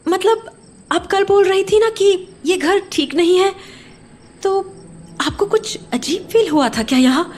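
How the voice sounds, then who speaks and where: A woman's voice: very high voice, animated, measured pace, darkish tone. A young woman playing an uneasy, questioning young homeowner in an audio horror drama. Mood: probing, uneasy.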